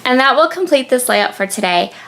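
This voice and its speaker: A woman's voice: high-pitched, animated, fairly quick, a bit bright. A young woman with a cold, chatting casually through a crafting voice-over, full of ums and restarts. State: sniffly with a cold. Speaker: scrapbooking video creator. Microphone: tablet on a gooseneck.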